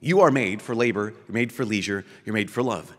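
A middle-aged man, a priest, giving an animated keynote at a podium, full of rhetorical questions and quick restarts. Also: lowish voice, long reverb tail. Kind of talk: lively conference keynote. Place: large conference hall, at a podium. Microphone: podium microphone.

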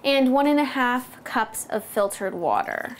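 A woman's voice: fairly high pitch, wildly swooping pitch, unhurried, slight background noise. A woman in her thirties with an American accent, demonstrating a recipe to camera step by step as a cooking host. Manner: clear step-by-step explaining. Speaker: cooking host, food blogger. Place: home kitchen, indoors.

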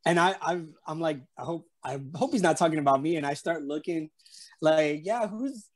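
A man with a slightly high voice and a San Antonio accent that carries a light Spanish tinge. He rambles through a casual story full of fillers and restarts. His voice comes through a home webcam on a video call. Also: moderate pace. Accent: San Antonio Texan with a slight Spanish tinge. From San Antonio, Texas. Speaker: interview guest. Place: room at home.

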